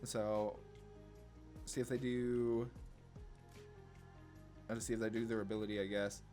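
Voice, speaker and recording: A man's voice: on the low side, level tone, a little slow, a little mellow, faint music behind. A man giving casual, laid-back gameplay commentary on a card game, thinking aloud as he plays. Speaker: gaming content creator.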